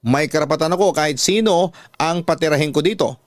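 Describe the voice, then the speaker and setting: A man's voice: a little high, brisk, dry recording, clean studio sound, lightly acted. A man playing a proud, domineering father who scolds and boasts in a radio drama. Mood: angry, threatening. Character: proud, angry, domineering father of a grown daughter. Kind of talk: Filipino radio drama acting.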